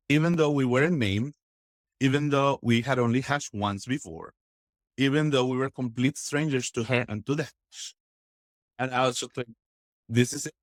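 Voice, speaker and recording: A man from Texas, a podcast guest, telling stories in a casual, enthusiastic and expressive way. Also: medium pitch, no room sound, clean studio sound. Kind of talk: casual interview storytelling.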